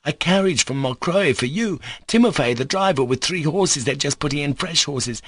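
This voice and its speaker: A man's voice: on the high side, normal speed, slightly rough, dry recording, studio quality, pitched above the performer's own voice. A man reading a novel aloud, voicing a breathless, eager young maidservant. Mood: excited. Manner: excited, breathless.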